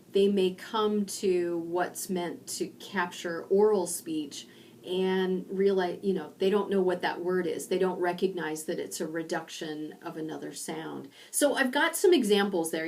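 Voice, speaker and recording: A middle-aged woman from rural Indiana giving a recorded online lecture from her home study, explaining slowly with pauses. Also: lowish voice, distant mic. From rural Indiana, USA. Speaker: university lecturer.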